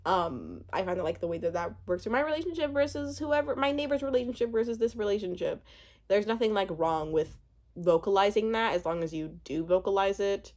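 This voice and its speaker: A woman in her twenties giving a casual, chatty recap commentary, animated and rambling, often breaking off mid-thought. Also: medium pitch, fairly quick. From born in Uganda, spent part of childhood there. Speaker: YouTube host reviewing a reality show.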